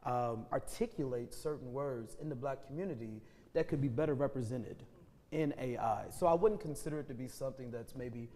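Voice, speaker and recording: A man speaking as a panelist at a university discussion in a reflective, measured way, often checking in with "right?". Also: medium pitch, warm tone. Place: university event hall. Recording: long hall reverb.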